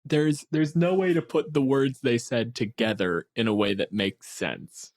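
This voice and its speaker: A man's voice: mid-range voice, a little slow, a little mellow, dry recording, clean studio sound. A man chatting casually as a podcast co-host, explaining monster lore in a wry way with the odd swear word.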